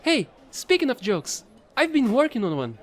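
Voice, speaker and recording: A man playing a chatty, excitable fallen alien angel who trades in space, gushing and rambling in an audio roleplay. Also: very high voice, normal speed, full character voice. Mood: eager, friendly. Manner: bubbly, gushing, rambling, apologetic.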